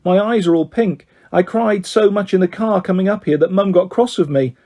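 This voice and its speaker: A man's voice: high voice, moderate pace, mellow, voice pushed up. A man voicing a sweet, sad young girl with a gushing, childlike, tender manner in a children's story. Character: young girl, sweet sad best friend who moved away.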